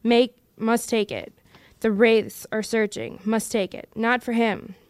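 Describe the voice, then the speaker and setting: A woman's voice: a little high, fairly even intonation, measured pace, clear, resonant tone, dry recording, close to an everyday voice. A woman voicing a wretched, split-minded male creature who wheedles and argues with himself in an audiobook reading. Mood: wheedling. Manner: wheedling, hissing self-argument.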